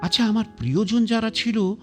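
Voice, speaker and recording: An actor playing a proud, stubborn man past eighty, ill in bed and brooding over his life, in a radio play with gruff, slow reflective speech. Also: high voice, expressive. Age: older. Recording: broadcast radio play with faint music.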